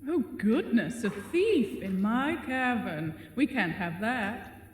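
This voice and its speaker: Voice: mid-range voice, sing-song, slow. An actor playing a giant talking spider, genteel and mockingly polite, in a theatrical audio drama style. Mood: feigned alarm. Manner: theatrical, mock-courteous. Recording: echoey cavern reverb with noisy ambience.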